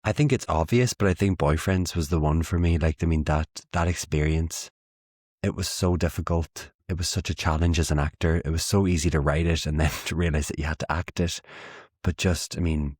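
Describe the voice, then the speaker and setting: A young man with an Irish accent and a low, deep voice tells stories with lots of laughter and playful bursts of acted-out dialogue. The sound is close and dry, with no room around it. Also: fairly quick, no room sound. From Ireland. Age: young adult. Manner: animated storyteller, laughs often, acts out quoted lines. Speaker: guest theatre maker. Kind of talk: casual interview anecdotes.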